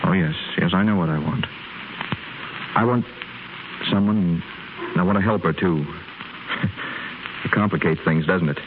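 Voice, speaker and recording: A man's voice: sits low, measured pace, long pauses. An actor playing a young wealthy man who trained as a doctor, earnest and eager, with a slight stammer. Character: young wealthy man, former medical student, lovesick and eager. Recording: tinny noisy 1930s radio broadcast.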